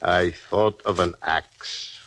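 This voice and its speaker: A scheming husband in an old radio drama. He speaks very low and very slowly, brooding over each deliberate phrase. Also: fairly even intonation, fairly bright tone, full character voice. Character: scheming, hesitant murderous husband in early America. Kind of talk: 1950s radio drama acting.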